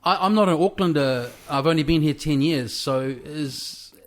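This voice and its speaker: A man in his forties hosting a podcast, chatty and quick to jump in with questions. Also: on the high side. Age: 40s. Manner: chatty, laughs often, jumps in with questions. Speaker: podcast host. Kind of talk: casual interview chat.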